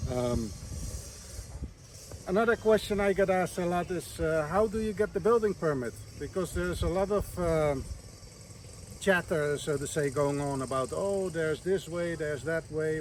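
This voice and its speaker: Dutch-born builder, slightly high voice. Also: male voice, a little slow, slight background noise. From Netherlands. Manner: plain, hesitant, many ums and uhs. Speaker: villa builder. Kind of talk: casual to-camera advice.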